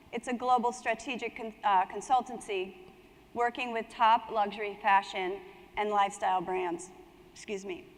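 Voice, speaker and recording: Higher-voiced woman moderating in a reverberant hall. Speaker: conference panel moderator. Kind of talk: hosting a live panel, partly reading introductions from a script. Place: indoor conference hall on stage. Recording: echoey hall, long reverb.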